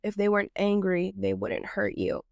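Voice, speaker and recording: A woman's voice: mid-range voice, sing-song, moderate pace, vocal fry, dry recording, studio quality. Nasal-sounding woman hosting a podcast. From Texas. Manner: earnest, reflective, loosely improvised with fillers. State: nasal from a cold. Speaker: podcast host. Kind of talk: reflective podcast monologue.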